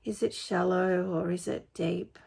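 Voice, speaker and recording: A woman leading a guided meditation in a gentle, unhurried, informal and soothing way. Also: fairly low pitch, fairly even intonation, vocal fry, husky. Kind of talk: guided meditation, spoken softly to listeners.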